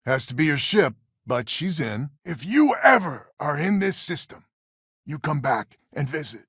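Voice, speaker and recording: A man's voice: a little high, very animated, a little slow, a bit bright, breathy edge, no room sound, clean studio sound, heavily acted. An actor playing a wry, weary male space colonist who heads a settler family in a video game, with a folksy, sardonic manner. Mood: wry, warm.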